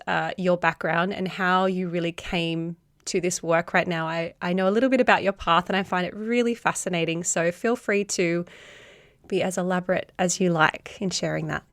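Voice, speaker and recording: A woman's voice: fairly low pitch, no room sound, clean studio sound. A woman hosting a podcast, reading a scripted introduction and then interviewing, gushing and repeating words for emphasis. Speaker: podcast host.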